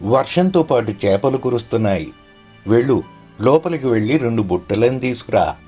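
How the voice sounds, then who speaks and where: A man's voice: medium pitch, expressive, moderate pace, warm tone, husky, soft background music. A man playing a humble, devout poor fish seller in scripted story dialogue, respectful and pleading. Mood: glad, grateful.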